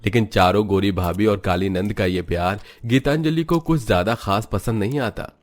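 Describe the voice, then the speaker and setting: Low-voiced male story narrator. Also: moderate pace. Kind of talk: scripted cartoon story narration.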